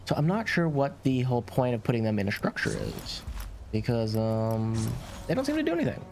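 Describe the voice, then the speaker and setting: A man's voice: mid-range voice, huge pitch swings, brisk, gravelly, a little mellow. A man hosting a gaming video, explaining things in informal, casual gameplay commentary. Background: game music.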